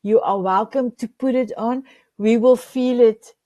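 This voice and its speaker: A woman's voice: on the high side, steady tone, a little slow, dark tone, dry recording. A woman whose first language is Afrikaans, hosting a talk show in an effusive way, often searching for words. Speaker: show host.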